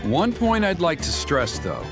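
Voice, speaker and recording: Smooth-talking scheming elder. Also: male voice, fairly high pitch, measured pace, full character voice. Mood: ingratiating. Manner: oily, ingratiating, lively intonation. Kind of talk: game voice acting. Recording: muffled game audio with music.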